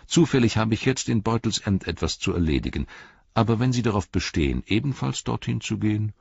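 A man voicing a calm, dignified male hobbit who speaks with cool, dry irony. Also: fairly low pitch, a little fast, creaky, breathy edge, dry recording, muffled recording, voice pushed up, full character voice. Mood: ironic.

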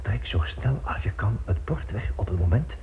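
A man's voice: lowish voice, animated, normal speed, lightly acted. Actor as a commanding captive man, muffled old recording. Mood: urgent, hushed. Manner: clipped urgent orders, menacing politeness. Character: resourceful male captive, commanding, coolly threatening his jailer. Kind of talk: Dutch radio drama acting. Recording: muffled, noisy old radio play tape.

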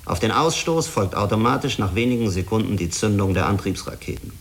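An actor playing a stern, self-critical spaceship commander in a German radio drama, speaking firmly and explaining in measured phrases. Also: male voice, lowish voice, animated. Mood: reflective, insistent.

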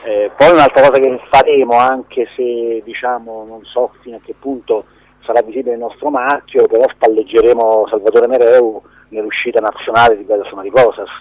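A man answering as an interview guest in a halting, conversational way, repeating words and letting phrases trail off. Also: mid-range voice, little pitch movement, dry recording, highs cut off. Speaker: film producer, interview guest.

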